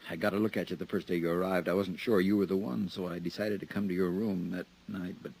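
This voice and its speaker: An actor playing a nervous, hunted male embezzler in a 1950s radio drama, edgy and halting. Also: low-pitched, steady tone, vocal fry, a little mellow, slight background noise, low-quality recording. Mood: weary.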